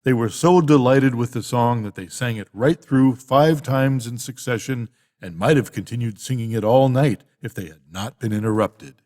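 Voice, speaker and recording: A man's voice: mid-range voice, moderate pace, few pauses, darkish tone. An older man reading a bedtime story as a scripted narrator. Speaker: storybook reader. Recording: long hall-like reverb.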